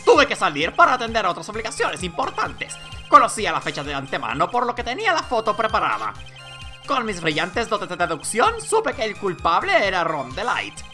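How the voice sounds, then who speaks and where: A man's voice: high-pitched, sharp tone, heavily acted. A man voicing a pompous, boastful detective witness in a courtroom game, theatrical and smug.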